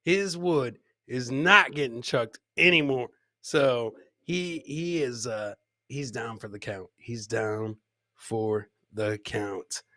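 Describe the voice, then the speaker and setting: A man's voice: medium pitch, slow, sharp tone, no room sound, clean studio sound. A middle-aged man hosting a live comedy show, bantering casually with chat and repeating phrases like a hype man. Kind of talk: casual livestream banter, reacting to clips and chat. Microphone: close podcast mic on boom, headset.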